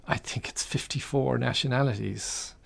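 An Irish-accented man, middle-aged or older, reflecting and telling stories as an interview guest, with halting restarts. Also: mid-range voice, gravelly, fairly bright tone. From Ireland. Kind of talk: reflective conversational storytelling.

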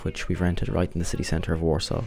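A man from Dublin with an Irish accent, narrating a personal documentary in a measured, reflective way. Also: low voice, completely flat delivery, gravelly. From Dublin, Ireland.

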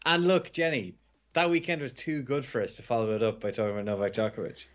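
An Irish man, a sports journalist, chatting as a radio guest, slightly croaky and hungover, with quick laughs and restarts. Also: mid-range voice, steady tone, highs cut off. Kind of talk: radio phone-in chat.

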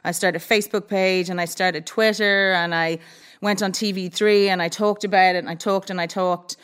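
A woman's voice: mid-range voice, steady tone, normal speed, a bit bright, clear, resonant tone. Young woman guest on a chat show, just telling it straight, everyday voice. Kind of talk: casual interview chat.

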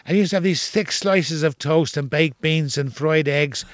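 A man's voice: a little high, normal speed, dry recording. An older man, an actor and playwright with a Dublin accent, talking frankly and at length in an interview. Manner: expansive, frank, opinionated.

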